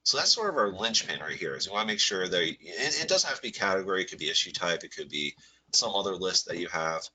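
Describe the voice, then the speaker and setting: A man with a low voice and a sharp, forceful edge talks through a live software webinar. He has a head cold that makes him a little nasal, and he explains in a loose, rambling way with plenty of "uh"s and restarts. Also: quick pace, slight background noise, low-quality recording. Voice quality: slightly nasal. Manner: relaxed, rambling, filler-heavy explaining. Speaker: software workshop presenter. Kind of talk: live webinar walkthrough with screen share.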